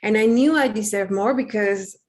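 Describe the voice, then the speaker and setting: A woman's voice: medium pitch, normal speed, darkish tone. A young adult woman from Peru with a Peruvian Spanish accent, speaking English as a second language as an interview guest, candid and rambling with many fillers and restarts. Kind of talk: casual interview.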